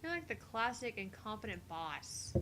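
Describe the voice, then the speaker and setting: A woman voicing a sharp young female genius scientist in a visual novel game, with a dry, needling manner. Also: medium pitch, sing-song, normal speed, noisy background, natural voice. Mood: sarcastic, challenging.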